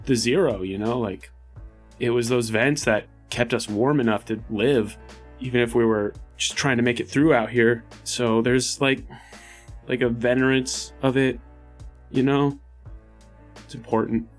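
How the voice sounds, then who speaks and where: A man's voice: medium pitch, fairly even intonation, unhurried, faint music behind. A man playing a tabletop RPG, improvising casually in character in a hesitant, rambling way. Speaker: player in a tabletop RPG podcast.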